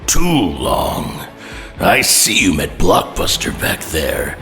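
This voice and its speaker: An actor playing a savage, bestial villain who taunts an old foe with drawn-out menace in a superhero audio drama. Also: male voice, sits low, very animated, bright, forceful tone, soft background music, heavily acted. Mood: taunting menace.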